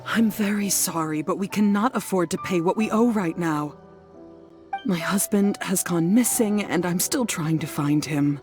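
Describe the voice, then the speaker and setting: A woman's voice: fairly low pitch, unhurried, lightly acted. A woman playing an anxious, apologetic wife. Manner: apologetic and worried. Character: anxious wife of a missing man. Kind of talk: video game voice acting. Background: game music.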